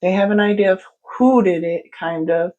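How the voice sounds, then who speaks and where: A woman's voice: medium pitch, moderate pace, mellow, dull audio. A woman book vlogger chatting casually about her reading, rambling with lots of fillers. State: stuffy from allergies. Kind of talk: casual book update chat.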